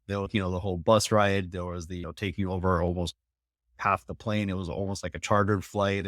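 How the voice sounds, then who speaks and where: A man's voice: lowish voice, no echo, clean studio sound. A man in his thirties from New York, telling personal stories as an interview guest in an earnest, reflective way. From New York, United States. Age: 30s. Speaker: interview guest, technology executive.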